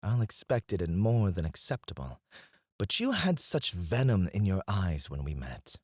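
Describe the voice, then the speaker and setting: A man's voice: low voice, big pitch swings, a little slow, darkish tone, no echo, studio quality, full character voice. A man playing a cocky, disdainful royal alchemist in a scripted fantasy audio roleplay, speaking in a formal, haughty, theatrical way. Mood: accusing, defiant. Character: cocky, disdainful male royal alchemist, sharp-witted and proud. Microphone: close, dry studio mic.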